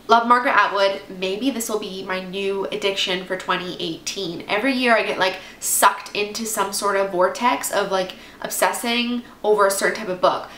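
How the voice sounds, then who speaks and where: A woman's voice: mid-range voice, a bit bright. A young woman in her twenties with a congested cold, chatting casually to camera about books, rambling with lots of asides. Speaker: book vlogger. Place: at home, indoors. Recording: echoey room with faint background noise.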